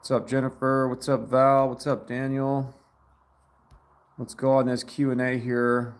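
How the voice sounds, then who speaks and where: A man's voice: medium pitch, level tone, a little slow. A man hosting a casual livestream from his garage. He greets chatters by name and talks loosely about effort. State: dirty after a day of work. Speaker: livestream host.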